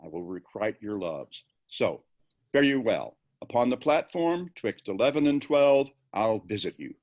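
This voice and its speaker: A brooding, grieving young prince, acted by an amateur male reader, with a lowish voice and a very unhurried pace. The verse is deliberate and theatrical, in the manner of a Shakespeare stage reading. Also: big pitch swings, a little mellow, muffled recording. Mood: resolute, grave. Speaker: amateur volunteer actor. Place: indoors, on a video call. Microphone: video call.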